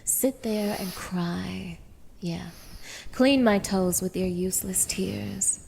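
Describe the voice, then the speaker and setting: A woman plays a haughty, mocking young woman in a scripted audio roleplay, addressing the listener with open contempt. Her mid-pitched voice has a gravelly creak as she taunts and gives orders. Long reverb surrounds every word. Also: steady tone, slow, dark tone, slight background noise. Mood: scornful, menacing. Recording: reverberant, echoing room.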